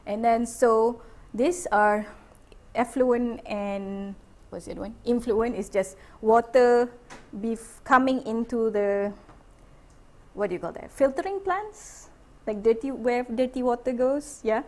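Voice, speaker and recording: A woman's voice: fairly high pitch, a little slow. A middle-aged woman with a Malaysian accent giving an informal academic seminar over slides, chatty, with many fillers and restarts. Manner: chatty, many fillers and self-corrections, laughs lightly.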